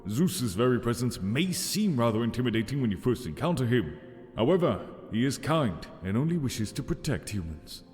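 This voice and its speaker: A man playing a strong, gentle demigod warrior in an audio roleplay, earnest, courteous and formal in his speech. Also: medium pitch, big pitch swings, normal speed, close to an everyday voice. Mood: courteous, reassuring. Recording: long reverberant hall. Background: soft background music.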